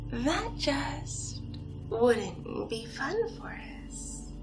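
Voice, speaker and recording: A woman's voice: on the high side, exaggerated voice. A woman performing ASMR roleplay as a playful, possessive villainess kidnapper, teasing and cutesy as she drifts in and out of whispers. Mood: smug, possessive.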